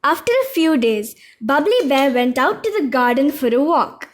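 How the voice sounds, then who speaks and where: A woman's voice: high-pitched, very animated, moderate pace. A woman telling a scripted puppet story to young children in simple, instructive phrases. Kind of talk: slow scripted children's narration and singing.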